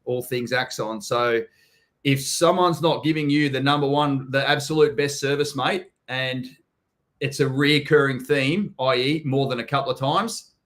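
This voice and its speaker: A man's voice: medium pitch, fairly even intonation, a little slow, fairly bright tone. Swearing, blokey Australian man. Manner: blunt, swears freely, calls people mate. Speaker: host. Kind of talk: casual live-stream chat.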